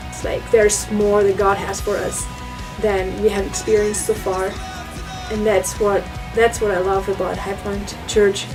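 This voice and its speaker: A woman speaks earnestly in a personal testimonial. Her voice sits at a middle pitch, and music plays clearly behind her. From Germany. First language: German. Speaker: church member giving testimonial.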